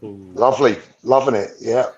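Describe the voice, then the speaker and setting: A man's voice: mid-range voice, moderate pace, highs cut off, crowd noise. A man chatting in a friendly, easygoing way as a guest on a call. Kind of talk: casual chat.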